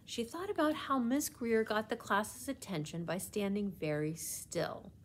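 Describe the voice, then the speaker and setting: A woman storyteller with a medium-pitched voice reads a children's book aloud. Her phrasing is deliberate and expressive, and there is faint noise in the background. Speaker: library storyteller.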